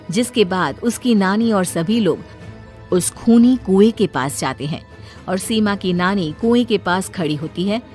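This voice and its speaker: A woman reading a scripted horror story as its narrator. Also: medium pitch.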